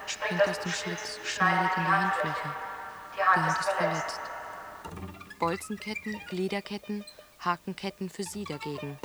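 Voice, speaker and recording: A narrator reciting a literary radio play text in a deliberate, fragmented way. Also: mid-range voice, close to an everyday voice. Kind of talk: literary scripted narration over music. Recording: music behind the voice. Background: plucked guitar music.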